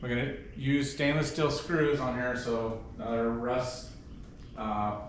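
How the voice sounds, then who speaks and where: A man's voice: medium pitch, a little slow. A man casually walking through a hands-on project as a DIY host, informal and given to jokey asides. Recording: echoey, distant mic, faint background noise.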